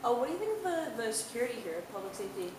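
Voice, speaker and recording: A young woman asking quick, casual questions in an outdoor interview. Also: a little high, sing-song. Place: outdoors on an island. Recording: roomy, noisy outdoor audio.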